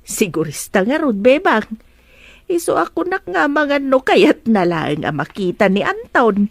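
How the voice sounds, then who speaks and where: A woman playing an excitable, gossiping household helper in a melodrama, chatty, exclamatory and insinuating. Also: a little high, unhurried, vocal fry, dry recording, studio quality, full character voice. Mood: gossipy. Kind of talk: Ilocano melodrama acting.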